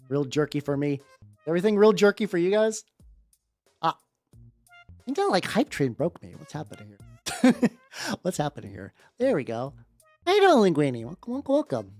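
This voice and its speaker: Streamer chatting casually, rambly and sweary, pitch bounces all over, dry sound w bit of music under it. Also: a little mellow, no room sound. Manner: rambling, repeats phrases, slangy and sweary. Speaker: livestream host. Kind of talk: casual livestream chat. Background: background music.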